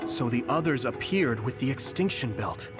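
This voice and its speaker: A man's voice: medium pitch, expressive, moderate pace, music in the background, close to an everyday voice. A man playing an earnest, thoughtful young soldier in a video game. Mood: earnest, pondering. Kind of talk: scripted video game voice acting.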